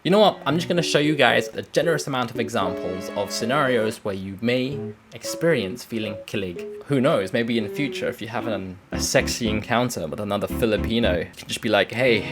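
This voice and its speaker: A young British man, a native English speaker who mixes in Tagalog, explaining a word in a jokey, animated vlog. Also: fairly low pitch. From United Kingdom. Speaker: advice vlogger. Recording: clear music bed behind voice.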